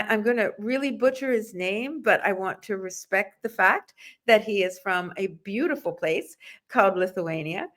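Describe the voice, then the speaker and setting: A woman's voice: mid-range voice, fairly bright tone. A woman speaking as a podcast host, introducing and questioning a guest in a conversational way. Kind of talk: conversational interview hosting.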